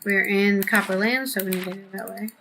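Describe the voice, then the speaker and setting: A woman's voice: medium pitch, fairly even intonation, a little fast, creaky, noisy background. A woman casually commenting on a game she is playing and reading its text aloud, chatty and jokey. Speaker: game streamer. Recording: voice over game music.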